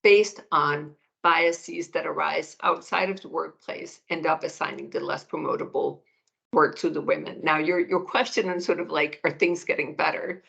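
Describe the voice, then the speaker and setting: Brief: middle-aged woman, economist guest, measured and gravelly, heard over a muffled video call. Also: deep voice, steady tone, fairly bright tone. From Denmark. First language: Danish. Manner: measured, restarts phrases while thinking. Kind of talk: interview answers, explanatory.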